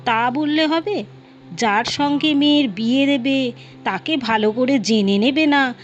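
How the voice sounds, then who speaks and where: A woman's voice: sits high, a little slow. A woman storyteller voicing a suspicious, insistent middle-aged wife and mother in a nagging manner. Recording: dull lo-fi audio, music behind.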